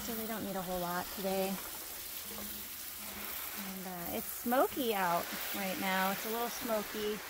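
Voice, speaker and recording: A woman's voice: mid-range voice, a little slow, long pauses, heavy background noise. A woman in her thirties, a homesteader, chatting casually as she walks through her fenced garden outdoors and talks into a handheld camera. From Idaho, USA. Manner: relaxed, chatty, trailing off between points. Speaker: homesteader giving a garden tour. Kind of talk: casual walking garden tour.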